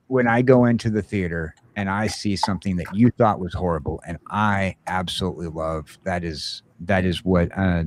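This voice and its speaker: A man's voice: lowish voice, darkish tone, a little hiss or hum. A man hosting a casual morning show, rambling with lots of fillers. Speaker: host.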